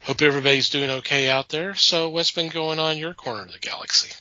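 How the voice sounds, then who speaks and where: A dry, lo-fi recording of a man with an extremely bright, forceful voice pitched a little high. He chats casually as a podcast co-host. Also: fairly even intonation, fast, no room sound. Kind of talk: casual chat. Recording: dull, lo-fi sound.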